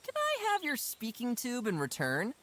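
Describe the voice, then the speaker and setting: Lively, cheeky young deer. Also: female voice, a little high, moderate pace, a little hiss or hum. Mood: teasing. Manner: chatty, playful. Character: young deer adventurer, earnest, cheeky and polite. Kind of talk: cartoon adventure game voice acting.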